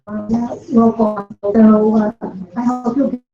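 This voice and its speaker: A woman giving a hesitant public speech as a candidate at a meeting, with long pauses. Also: fairly high pitch, level tone, warm tone, faint music behind. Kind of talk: halting public campaign speech. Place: indoor public meeting room. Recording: echoey meeting room, dull and faintly noisy.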